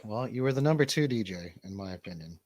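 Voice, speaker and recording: A man's voice: on the low side, lively intonation, fast, a little mellow, dry recording. A man hosting a casual stream, asking questions and teasing in informal bro slang. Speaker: stream host interviewing a friend.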